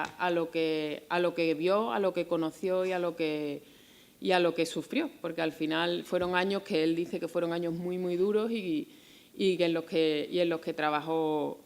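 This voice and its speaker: Lower-pitched Spanish woman presenting a book. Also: clean tone. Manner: halting, reflective, with repeated words and searching pauses. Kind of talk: semi-improvised public talk about a book, reading short excerpts.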